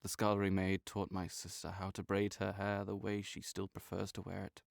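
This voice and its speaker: A man playing a sickly, proud and melancholy nobleman in an intimate audio roleplay, speaking formally with measured, old-fashioned phrasing. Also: sits low, monotone, gravelly, dry recording, studio quality. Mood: somber, reminiscing.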